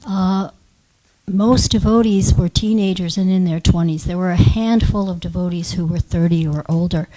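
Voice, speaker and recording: A woman taking part in a religious discussion, talking informally in a measured, thoughtful way. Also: medium pitch, mellow, rough recording. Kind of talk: informal reflective talk.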